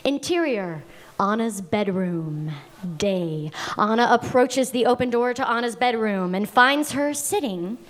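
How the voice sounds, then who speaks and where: A woman narrates scene headings and action lines at an unhurried pace. Her voice sits a little high, and she reads each line carefully and deliberately. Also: lively intonation. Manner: measured, deliberate reading. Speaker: narrator reading stage directions. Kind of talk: table read narration.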